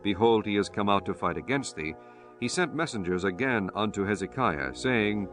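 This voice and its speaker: Low-voiced man narrating scripture. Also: animated, moderate pace, fairly bright tone. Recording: music under the voice.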